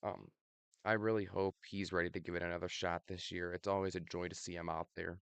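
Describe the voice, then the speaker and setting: Low creaky man with allergy rasp. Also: brisk, no echo. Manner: relaxed, many ums, laughs at himself. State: slightly raspy from allergies. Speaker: video host. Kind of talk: casual predictions commentary.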